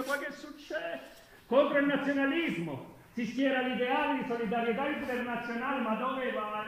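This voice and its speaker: A man's voice: very high-pitched, sharp tone, roomy sound, heavily acted, poor audio. A man playing a fervent, easily flustered political orator in a theatrical, declamatory style. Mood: flustered, muttering. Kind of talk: theatrical declamatory speech with muttered asides.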